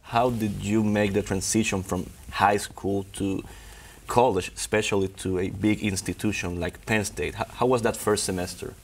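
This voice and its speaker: A man from Puerto Rico, a doctoral student, asking students measured interview questions. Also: on the low side, fairly even intonation, a little hiss or hum.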